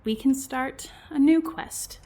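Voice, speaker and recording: A woman acting an earnest, wounded female game master in an audio drama, speaking with deliberate, emotive delivery. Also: sits high, dark tone. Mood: subdued, resolute. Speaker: voice actor in an amateur audio drama.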